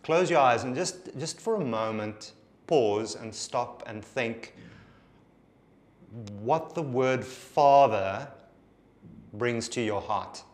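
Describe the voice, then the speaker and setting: Afrikaans accent guy in his 40s, preaching slow and earnest to camera, plain studio. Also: medium pitch, animated. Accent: Afrikaans South African. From South Africa. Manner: earnest, intimate, slow and reflective. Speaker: pastor. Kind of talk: sermon to camera.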